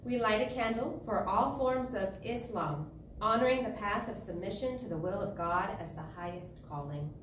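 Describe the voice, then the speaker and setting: A woman's voice: medium pitch, fairly bright tone. Distant, echoey woman leading solemn prayer. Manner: measured, solemn delivery in short phrases. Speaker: service leader reading candle lighting and prayer. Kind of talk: spoken liturgy and guided prayer. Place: live service in a spiritual center. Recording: echoey room, distant mic, clear background noise.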